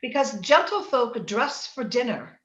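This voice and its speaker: A woman playing a proud, willful young gentlewoman torn by romance, theatrical and emotional in old-time radio drama style. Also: a little high, sing-song, measured pace. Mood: haughty, scornful. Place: indoor room, recorded remotely over video call. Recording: roomy, dull video-call recording.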